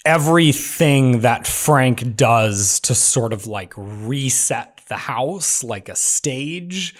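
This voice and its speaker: A man's voice: medium pitch, animated, slow. A man casually discussing a script, circling around his point and peppering it with "like". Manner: hesitant, circling phrasing peppered with "like".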